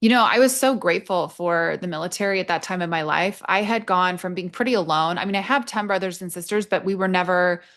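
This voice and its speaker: A woman's voice: fairly low pitch, fast talker, hardly any pauses, a bit bright. A middle-aged woman from England with an American accent, telling personal stories candidly and conversationally as an interview guest. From Nottingham, England. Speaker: podcast guest, army veteran working in HR.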